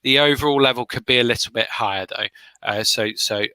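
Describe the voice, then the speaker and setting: Man giving speaking-test feedback, forceful. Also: medium pitch, fairly quick, clear, resonant tone, no echo. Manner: encouraging, explains step by step. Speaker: former IELTS examiner running speaking practice. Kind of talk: examiner questions and feedback.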